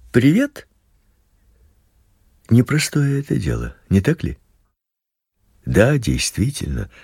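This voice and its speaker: A man giving a scripted, measured religious lesson narration, posing rhetorical questions as he reads. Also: mid-range voice, husky, no echo, clean studio sound. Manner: slow, measured, expressive reading with rhetorical questions.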